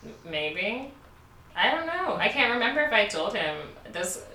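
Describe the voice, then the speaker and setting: Young woman from Baltimore, casual podcast co-host, bright voice, recorded off-mic in an echoey room. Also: fairly high pitch, big pitch swings, moderate pace, recorded from a distance, a little hiss or hum. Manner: candid, laughing, many "like" fillers. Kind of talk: casual storytelling chat.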